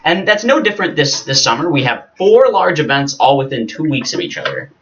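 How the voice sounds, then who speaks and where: An American man chats casually as a podcast co-host, quick and very animated in his intonation. He is informal and emphatic. The recording is slightly dull and lo-fi. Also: fairly high pitch, noisy background. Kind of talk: casual chat.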